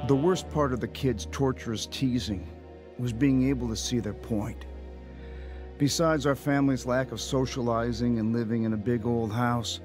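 An actor voices a reflective man narrating a radio drama in the first person. His mid-pitched voice is wry and measured, with faint music behind it. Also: fairly even intonation, natural voice. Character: reflective man recounting his lonely childhood.

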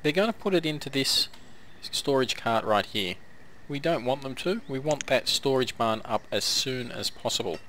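A man giving casual commentary while playing a strategy game, hoarse and coughing now and then as his voice wears out, chatting to his villagers as he goes. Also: medium pitch, animated, moderate pace, a bit bright, a little hiss or hum. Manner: chatty, talks to the game's villagers. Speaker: gameplay commentator. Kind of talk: casual let's-play commentary.

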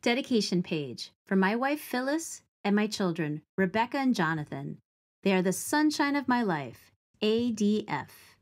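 A woman in her forties reads a children's storybook aloud, speaking clearly and deliberately with an expressive storytelling delivery. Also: mid-range voice. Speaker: teaching artist reading aloud to children.

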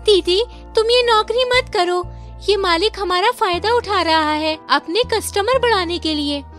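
Little sister for a cartoon, voiced by a woman: high, anxious, loyal, protesting. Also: a little slow, a bit bright, soft background music.

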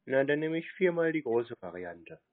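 A man talks as a customer over a thin, tinny phone connection. His voice has an average pitch. He keeps his answers short and delivers them deadpan. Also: moderate pace. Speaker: prank caller posing as customer. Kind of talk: terse phone conversation. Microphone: telephone. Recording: tinny, narrowband phone line.

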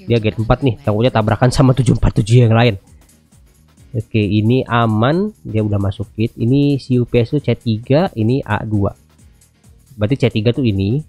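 A man's voice: mid-range voice, moderate pace, mellow, faint music behind. A man in his thirties with an Indonesian accent, giving chatty, informal gameplay commentary with some English radio-style phrases. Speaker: gaming video host. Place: indoors at a computer, music behind.

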